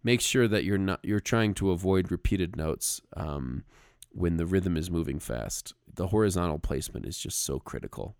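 Low voiced guy on a podcast, really dry recording, casual, kinda halting and repeats words. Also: animated, creaky, a little mellow, dry recording. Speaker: podcast co-host, music arranger.

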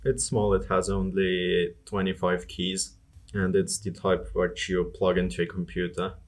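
A man with a very low voice speaks as a guest in a casual interview. He is from Estonia and talks about his own experiences in a conversational way. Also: flat delivery, unhurried. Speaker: interview guest, bike-touring traveller.

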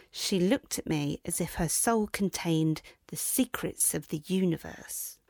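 A woman's voice: on the low side, no room sound, clean studio sound. A middle-aged woman hosting a podcast, telling a wry, self-deprecating comic story about family before moving into an interview. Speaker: podcast host. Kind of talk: storytelling monologue then interview.

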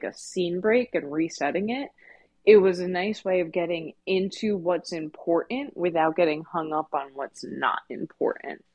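A woman's voice: fairly low pitch, darkish tone. A woman co-hosting a podcast, discussing fiction in a casual, conversational and emphatic way. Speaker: podcast co-host.

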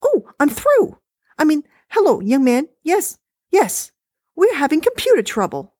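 A woman voicing a fussy, chatty older wife talking on the phone, high-pitched and bossy. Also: wildly swooping pitch, measured pace, warm tone, dry recording, clean studio sound, heavily acted. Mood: chirpy, bossy.

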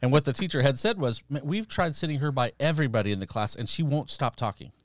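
A man's voice: mid-range voice, animated, quick pace, no room sound. Middle aged guy chatting, telling stories and doing the dialogue, dry close mic. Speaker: missionary guest. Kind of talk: conversational personal storytelling.

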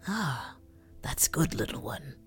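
A woman voicing a calm, tall gray male wolf in a storybook, speaking low, slow and patient in a hushed, breathy voice. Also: soft background music, heavily acted. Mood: calm, guiding.

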